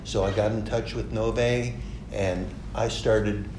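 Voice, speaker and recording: A man from Los Angeles who is a conducting student, answering interview questions in an earnest, halting way. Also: fairly low pitch, steady tone. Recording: roomy with background noise.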